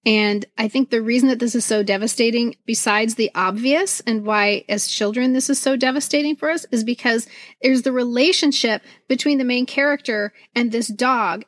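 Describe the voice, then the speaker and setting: A woman's voice: fairly high pitch, a bit bright, no echo, studio quality. A middle-aged woman co-hosting a casual podcast conversation. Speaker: podcast co-host. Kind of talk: casual chat.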